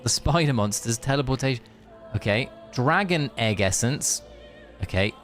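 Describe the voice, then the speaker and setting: A man doing casual live game-stream commentary, joking, swearing and haltingly reading story text aloud. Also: mid-range voice, lively intonation, a bit bright, faint music behind.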